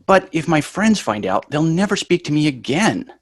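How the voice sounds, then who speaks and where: Lively, sarcastic sitcom son played by a man. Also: a little high, normal speed, dry recording. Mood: wisecracking. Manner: sarcastic, dry wisecracks. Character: young sitcom son, reluctant, sarcastic, wisecracking.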